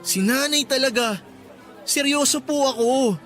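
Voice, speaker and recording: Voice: high voice, a little slow, a bit bright, faint music behind. An actor playing a resentful, whining young son who talks back to his mother in a Tagalog radio drama. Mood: resentful, accusing. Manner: complaining, talks back, sulky.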